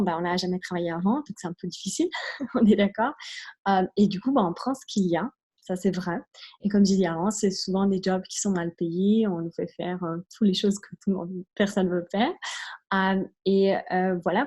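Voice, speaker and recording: A woman's voice: mid-range voice, warm tone. A young woman from Switzerland, speaking French with a light Italian accent. She hosts an online video conference and tells her own story in a chatty, filler-filled way, with small laughs scattered through her speech. Her voice comes over a video call. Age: 20s. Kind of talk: testimonial presentation.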